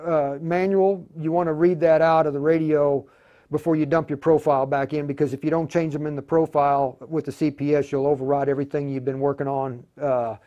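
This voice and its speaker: A man with a slightly high voice is hosting a hands-on tutorial. He explains things informally, stopping and starting as he thinks aloud, like a hobbyist radio operator showing the steps. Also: mellow. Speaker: livestream tutorial host, amateur radio operator. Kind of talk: casual hands-on demonstration.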